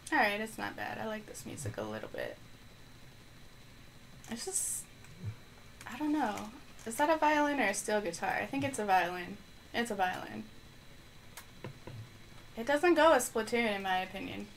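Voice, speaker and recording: A woman's voice: medium pitch, sing-song, moderate pace, vocal fry, pauses often, a little hiss or hum, rough recording. A woman streaming a video game live, chatting casually as she thinks aloud and responds to viewers, with her microphone placed on the floor. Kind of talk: live gameplay stream commentary.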